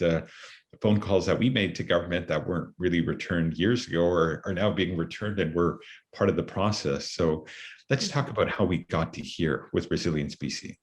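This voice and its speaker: A Canadian man hosting an interview, talking earnestly and searching for words with many fillers. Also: sits low. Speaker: interview host. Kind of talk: conversational interview.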